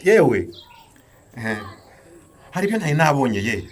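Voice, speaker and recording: An actor playing a delighted, excitable old village grandfather in a radio drama, often exclaiming and repeating himself. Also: mid-range voice, normal speed, heavy background noise, lightly acted. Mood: excited, grateful.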